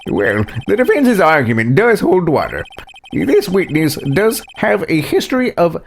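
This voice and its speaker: A man's voice: sits high, wildly swooping pitch, measured pace, creaky, slight background noise, pitched above the performer's own voice, exaggerated voice. A man voicing a mild-mannered, hesitant judge in a courtroom video game. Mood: pondering.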